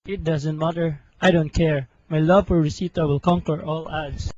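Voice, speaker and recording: A man's voice: fairly high pitch, fairly even intonation, normal speed, a little mellow, lightly acted. A young man playing a lovesick, self-sacrificing hero in a student radio drama, earnest and melodramatic. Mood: desperate, resolute. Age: young adult. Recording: muffled amateur recording, music behind.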